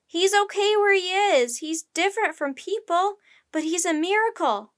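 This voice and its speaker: A woman voicing an earnest young boy in a storybook, pleading loudly to be heard. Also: extremely high pitch, expressive, normal speed, pitched above the performer's own voice. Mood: urgent.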